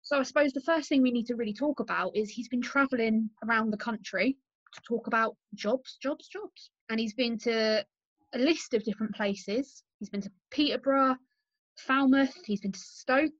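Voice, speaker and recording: Higher-voiced British woman, roomy muffled sound. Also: moderate pace. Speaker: podcast host. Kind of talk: political podcast discussion. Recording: muffled, roomy home recording.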